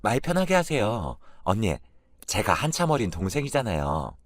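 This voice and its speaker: A man's voice: lowish voice, huge pitch swings, normal speed, no echo, clean studio sound. A man as a sly, taunting young woman. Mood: apologetic, polite. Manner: mocking, provocative. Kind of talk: dramatised story dialogue.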